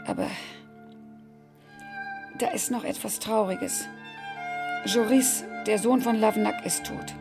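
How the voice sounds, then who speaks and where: An actress playing a caring, bustling housekeeper in a radio drama, homely and solicitous in manner. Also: female voice, mid-range voice, fairly even intonation, takes it slowly, long pauses. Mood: grave. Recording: radio drama with clear music behind.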